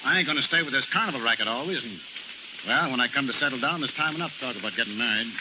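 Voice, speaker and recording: An actor playing a brash, gruff carnival barker and devoted father in a 1930s radio drama, with slangy, folksy speech. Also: medium pitch, very fast, background noise, close to an everyday voice. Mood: earnest. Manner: slangy, folksy, ungrammatical talk. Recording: muffled vintage radio recording with music.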